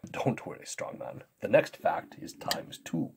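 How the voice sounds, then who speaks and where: A man playing a patient, soft-spoken teacher with a gentle, unhurried manner in a kids' multiplication skit. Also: fairly low pitch, sing-song, gravelly, a little hiss or hum, full character voice. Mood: patient, reassuring.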